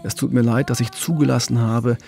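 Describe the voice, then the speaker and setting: A man's voice: medium pitch, fairly even intonation, brisk, gravelly, warm tone. A man, talking earnestly and explaining things as co-host of a true-crime podcast.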